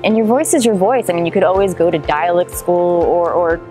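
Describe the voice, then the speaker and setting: A woman's voice: lowish voice, brisk, dark tone. Confident New Jersey woman giving advice. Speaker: home staging expert and video marketing guest. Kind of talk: casual interview chat. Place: indoors on a couch. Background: background music.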